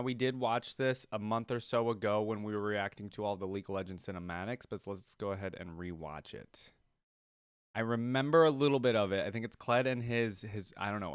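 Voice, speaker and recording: A man giving casual reaction commentary as a video host, musing aloud and asking himself questions. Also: on the low side.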